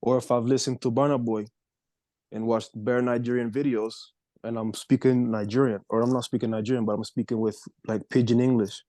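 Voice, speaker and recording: A man's voice: medium pitch, level tone, fairly quick, mellow, dry recording, clean studio sound. A young American man chatting casually as a podcast co-host, rambling with lots of fillers. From United States. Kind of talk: casual chat.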